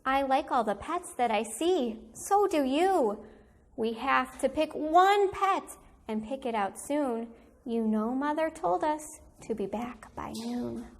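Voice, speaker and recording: A woman reads a picture book aloud as a kindergarten teacher would. Her voice sits high, and she takes her time over each phrase. The delivery is animated storytelling aimed at young children. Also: takes it slowly.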